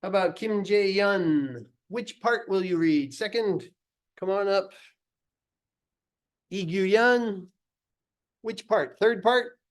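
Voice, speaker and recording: Man, teacher, high-pitched for a male, slow pace, informal classroom directions.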